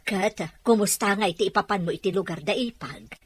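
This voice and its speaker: A woman playing a generous, motherly middle-aged woman in an Ilocano audio drama, with a husky voice. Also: medium pitch, a little fast, close to an everyday voice. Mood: pleased, curious. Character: warm, generous older woman, motherly helper.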